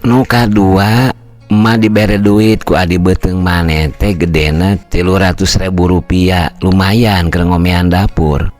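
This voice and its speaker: A plain-spoken elderly mother, as an older man storyteller reads her lines in his own low voice. She talks in a practical, unhurried way, and her tone stays calm and deep. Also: soft background music.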